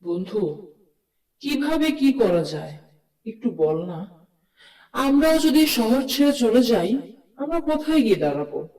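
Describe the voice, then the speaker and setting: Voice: mid-range voice, sing-song, a little slow, pauses often, darkish tone. A performer voicing a brave, earnest cartoon townsman with pleading emphasis, in a distant recording with long reverb. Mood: worried, hopeful. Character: brave, earnest townsman rallying his frightened friends. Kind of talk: cartoon story dialogue.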